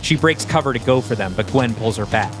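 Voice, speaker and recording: A man's voice: mid-range voice, expressive, a little fast. Man narrating an audio drama over music. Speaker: narrator reading stage directions.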